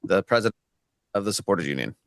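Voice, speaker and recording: A man's voice: low-pitched, expressive, fast, dry recording, clean studio sound. A man from Mexico, Spanish his first language, speaking earnestly as a podcast guest, with many restarts. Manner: earnest, frequent restarts and fillers. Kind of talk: casual podcast discussion.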